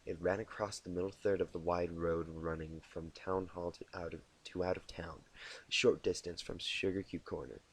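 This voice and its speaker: Low-voiced man reading a story haltingly. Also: steady tone, slightly rough, poor audio. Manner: halting, stumbles over words and repeats them. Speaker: amateur fan fiction reader. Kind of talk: storybook narration with stumbles and retakes. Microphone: inexpensive home microphone.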